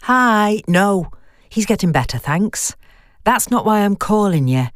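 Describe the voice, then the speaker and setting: A woman's voice: medium pitch, big pitch swings, a little slow, no room sound, studio quality, voice pushed up. Woman acting frank, concerned female friend. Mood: concerned, explaining. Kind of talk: audio horror story dialogue.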